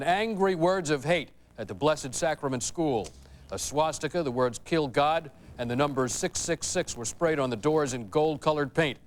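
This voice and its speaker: A man with an American accent reading scripted news. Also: mid-range voice. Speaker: newsreader.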